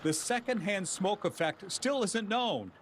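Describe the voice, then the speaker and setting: A male American reporter delivers scripted TV news narration in a slightly high voice. Clear ambient noise runs behind him. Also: expressive, a little slow.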